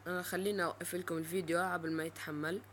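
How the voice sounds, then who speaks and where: A male presenter giving an informal, halting step-by-step app tutorial. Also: a little high, little pitch movement, a bit bright, slight background noise.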